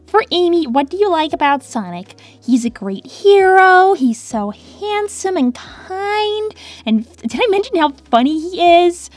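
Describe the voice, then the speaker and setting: A woman's voice: very high-pitched, sing-song, no room sound, faint music behind, exaggerated voice. A woman voicing a perky, lovestruck young hedgehog girl in a cartoon Q&A, gushing and easily flustered. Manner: gushing, quick to get flustered and defensive. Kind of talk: in-character cartoon Q&A.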